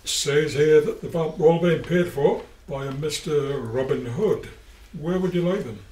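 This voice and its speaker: A man's voice: a little high, measured pace, a little mellow, reverberant, distant mic, natural voice, rough recording. A man playing a hesitant, matter-of-fact delivery driver in an amateur radio play.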